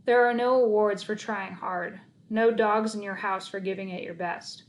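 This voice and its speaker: A woman's voice: medium pitch, steady tone, moderate pace. A woman narrating a children's book aloud in the first person, reading expressively.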